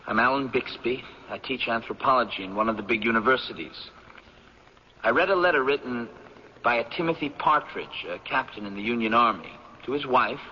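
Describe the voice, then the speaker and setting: An actor playing a polite, earnest young anthropology professor in a radio drama, speaking tentatively and respectfully. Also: male voice, mid-range voice, brisk, natural voice. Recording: echoing, dull, noisy radio recording.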